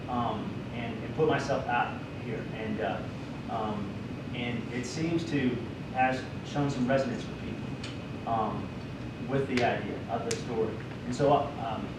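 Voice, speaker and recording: Hesitant man, far off in an echoey room. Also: normal speed. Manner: hesitant, stammers, keeps asking "okay?". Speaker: screenwriter presenting his script. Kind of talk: casual answers at a feedback session. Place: large room at a live event. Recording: echoey room from afar with loud rumble.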